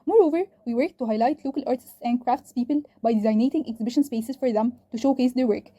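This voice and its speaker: A woman's voice: fairly high pitch, fast talker, mellow. A woman of twenty-one, a student from Egypt, earnestly reading a scripted presentation. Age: 20s.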